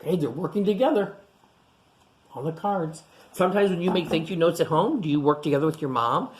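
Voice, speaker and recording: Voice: medium pitch, a little fast. A storytime reader going through a picture book aloud for young children, with simple explanatory asides.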